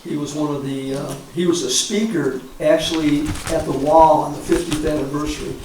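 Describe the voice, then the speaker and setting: An American man in his seventies, a war veteran reminiscing informally in a halting, rambling way with frequent pauses. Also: on the high side, fairly even intonation, darkish tone. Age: 70s. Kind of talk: informal reminiscence at a public talk. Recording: echoey, distant mic, clear background noise.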